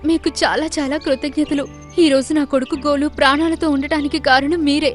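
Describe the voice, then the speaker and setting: Woman as a doe mother, cartoon, high voice, pleading, respectful, soft music underneath. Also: steady tone, brisk, close to an everyday voice. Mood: grateful. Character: distressed pleading mother deer. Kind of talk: Telugu children's cartoon voice acting.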